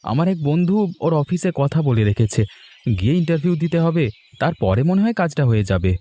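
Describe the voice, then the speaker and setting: A man's voice: on the high side, very animated, fairly quick, dark tone. A man playing an eager young graduate son in a cartoon, with an insistent, coaxing way of talking. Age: young adult. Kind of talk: Bengali moral-story cartoon dubbing.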